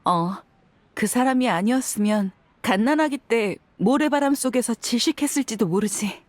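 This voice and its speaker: A woman's voice: on the high side, animated, moderate pace, no echo, lightly acted. A woman voicing a frank young desert mercenary, tough but caring, with a casual manner in a dramatic game scene. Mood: wistful, grateful.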